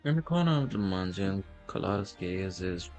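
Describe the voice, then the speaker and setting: A man's voice: deep voice, lively intonation, moderate pace, a little mellow, faint music behind. A man doing casual, slangy playthrough commentary on a game, swearing at times and sometimes reading text aloud. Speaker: gameplay streamer.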